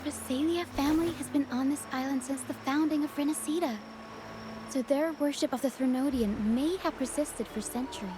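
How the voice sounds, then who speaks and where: An actress voicing a shrewd, wary and observant young woman in a video game, with a knowing, measured delivery. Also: sits high, lightly acted. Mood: thoughtful. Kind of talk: video game story voice acting. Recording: clear background noise.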